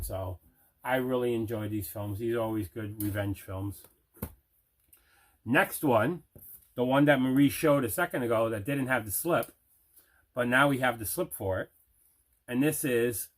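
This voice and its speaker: A middle-aged man casually showing items in an unboxing, deliberate and repeating himself. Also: mid-range voice. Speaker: host of a movie collecting show. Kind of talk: casual unboxing show-and-tell.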